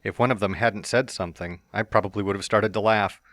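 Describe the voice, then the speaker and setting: A man narrates a storybook tale in a very low, deep voice, slipping into a cocky, teasing tone for the hero's own lines. The sound is close and dry, with no room around it. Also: steady tone, fairly quick, a bit bright, no room sound. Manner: first-person narration with playful smug asides. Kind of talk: fan dramatic reading of a story.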